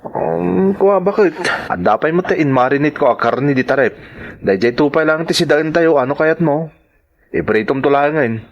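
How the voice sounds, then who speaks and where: A man's voice: fairly high pitch, animated, moderate pace, muffled recording. A man acting a stubborn working-class husband in an audio drama, with an Ilocano accent and a whining, cajoling manner. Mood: easygoing. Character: stubborn working-class husband, a construction laborer fond of soft drinks.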